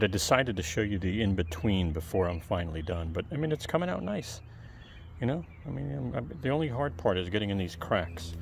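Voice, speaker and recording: Calm, gravelly man chatting outdoors. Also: on the low side, a little hiss or hum. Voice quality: soft, calm voice. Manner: chatty, rambling asides to the viewer. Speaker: video creator. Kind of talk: casual how-to talk while working. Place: outdoors on a lawn. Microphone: camera held close, point of view.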